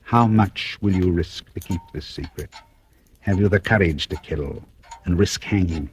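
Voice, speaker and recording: An actor playing a suave, mocking, cold-blooded British blackmailer in an old-time radio thriller, speaking with urbane deliberation. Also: male voice, deep voice, unhurried. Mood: smug, taunting. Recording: noisy vintage radio recording with clicks.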